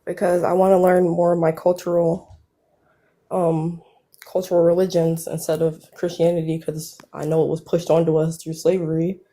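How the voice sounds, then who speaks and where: A woman in her twenties speaks softly and hesitantly on a live stream call. Her voice sounds young, a little low, and mellow in tone. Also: completely flat delivery, normal speed. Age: 20s. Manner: soft, hesitant, youthful-sounding. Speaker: caller. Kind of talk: casual live stream conversation.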